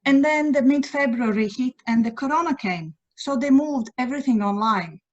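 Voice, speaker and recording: A middle-aged Latvian woman whose first language is Latvian, talking casually on a video call from her home at night, pausing often to search for English words. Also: sits high. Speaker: guest writer sharing news. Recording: dull lo-fi video call audio.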